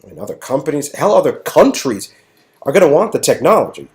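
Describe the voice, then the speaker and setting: Sarcastic, animated man as acted by a reader. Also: fairly high pitch, quick pace, pitched above the performer's own voice. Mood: agitated. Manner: sarcastic, exaggerated outbursts. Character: sarcastic, excitable, grumbling man named Damien. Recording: music clearly behind the voice.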